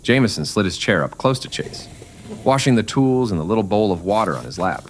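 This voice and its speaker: Deep, animated male Western narrator. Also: fairly quick, a little hiss or hum. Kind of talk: scripted Western story narration in old-time radio manner.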